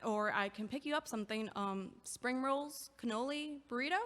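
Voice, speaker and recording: A cheerful, chatty neighbor with an easygoing, caring manner, as an actress plays her. Her voice sits fairly high in this audio drama. A long reverb trails after her lines. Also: normal speed, a bit bright, lightly acted. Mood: friendly. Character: friendly female neighbor, a cheerful chatty physical therapist.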